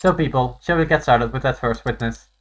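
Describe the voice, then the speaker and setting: A man's voice: medium pitch, brisk, close to an everyday voice. A man voicing a vain, sulky robot judge in a theatrical, flippant manner for a courtroom game. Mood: smug. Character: flamboyant, vain, sulky robot judge presiding over trials.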